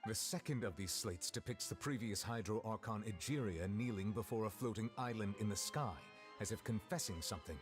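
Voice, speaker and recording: An actor playing a stern, solemn adult male judge in a video game, speaking formally and in measured phrases. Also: fairly low pitch, lightly acted. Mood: grave, questioning. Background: orchestral game music.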